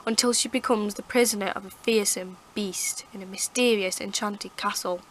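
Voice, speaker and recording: A woman with a croaky, hoarse throat, rambling chattily as she reviews her favourite books to camera. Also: medium pitch, steady tone, moderate pace, fairly bright tone, a little hiss or hum, low-quality recording. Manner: rambling, chatty, lots of fillers and restarts. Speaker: book vlogger. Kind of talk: casual book review chat. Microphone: camera mic.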